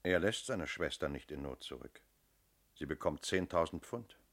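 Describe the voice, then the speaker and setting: Casting: police inspector, radio crime play; very deep bass, shrewd, methodical, sure of himself. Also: male voice, animated, normal speed, fairly bright tone, exaggerated voice. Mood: certain, explanatory. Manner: methodical, firm, explanatory. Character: shrewd, self-assured male police inspector. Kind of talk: German radio crime drama acting.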